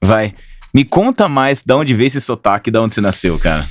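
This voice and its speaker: A man hosting a podcast, chatting casually with slang and quick interjections. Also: mid-range voice, big pitch swings, no echo. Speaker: podcast host.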